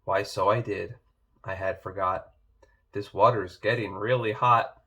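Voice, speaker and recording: Man acting a gruff, slow big bad wolf. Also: on the low side, steady tone, sharp tone, pitched below the performer's own voice, heavily acted. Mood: sheepish. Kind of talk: children's storybook read-aloud.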